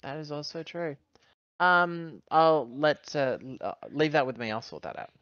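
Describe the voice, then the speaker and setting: A man's voice: a little high, moderate pace, fairly bright tone, no room sound, dull audio. A man playing a tabletop roleplaying game, talking casually with the group over a voice call.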